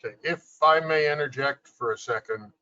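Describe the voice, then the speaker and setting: A man on a video call giving measured technical explanations, with frequent fillers. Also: medium pitch, bright, forceful tone. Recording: dull lo-fi video call audio.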